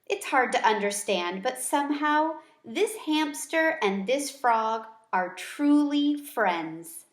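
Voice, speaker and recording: A kindly, thoughtful storybook husband, played by a woman in a fairly high voice. He speaks gently and musingly, with a clear room echo around him. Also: lively intonation, slow pace. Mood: warm, musing. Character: kindly, thoughtful married man with grown son.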